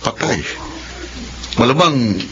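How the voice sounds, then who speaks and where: A man's voice: medium pitch, expressive, slow, pushed and bright. A man playing a curious, concerned office co-worker in a Filipino radio drama. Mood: curious, dismayed. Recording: dull, noisy radio recording.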